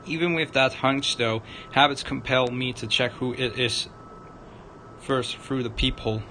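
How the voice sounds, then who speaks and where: A man narrating a visual novel aloud on a livestream, dramatic but halting, stumbling over the written words. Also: steady tone, sharp tone. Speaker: streamer, narrator. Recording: noisy room with low rumble.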